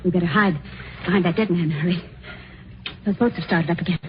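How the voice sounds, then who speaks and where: Woman playing an urgent young secretary, quick speech. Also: on the low side, natural voice. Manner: pleading, urgent, dramatic. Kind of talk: old-time radio suspense acting. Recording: muffled, noisy vintage radio recording.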